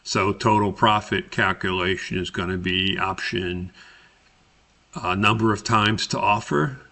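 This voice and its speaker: Male instructor for screen tutorial: deep, bright-edged voice, deliberate halting step-by-step delivery. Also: gravelly. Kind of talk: screen-recorded spreadsheet tutorial narration.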